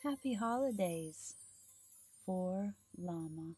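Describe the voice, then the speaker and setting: A woman's voice: lowish voice, animated, slow, dark tone, slightly rough, no room sound, faint music behind. A middle-aged woman hosting a children's storytime, reading and chatting in a sing-song way, asking questions and answering them. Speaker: library storytime host.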